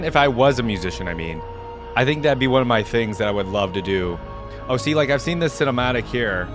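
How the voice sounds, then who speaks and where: Casual, enthusiastic man reacting over music. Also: mid-range voice. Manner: informal, enthusiastic, frequent "like" and asides. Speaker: YouTube reaction host.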